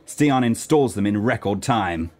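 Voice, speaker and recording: A man's voice: on the low side, expressive, unhurried, darkish tone. A man reading scripted stage directions as the narrator of a radio play. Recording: clear background noise.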